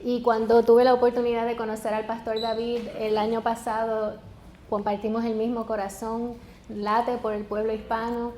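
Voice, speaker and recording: A woman's voice: on the high side, monotone, moderate pace, mellow, slight background noise. A woman in her forties from Puerto Rico with a Puerto Rican Spanish accent, a guest pastor preaching a sermon in a personal, joking way. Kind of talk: sermon to a congregation. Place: church sanctuary. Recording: echoey church hall with faint background.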